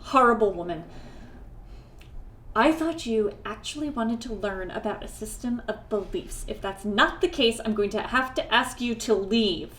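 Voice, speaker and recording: An actress playing a no-nonsense Wiccan lawyer, firm, dry and corrective, in a comedic audio drama. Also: female voice, a little high, moderate pace, fairly bright tone, a little hiss or hum, lightly acted. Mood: exasperated. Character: no-nonsense Wiccan woman lawyer, polite but easily exasperated.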